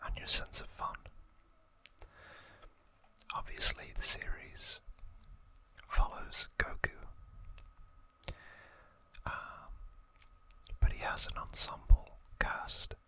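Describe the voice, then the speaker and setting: A man in his thirties speaking in a breathy, hushed whisper as an ASMR host, gently and with pauses. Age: 30s. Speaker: host of an ASMR video channel. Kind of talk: ASMR trivia talk, whispered.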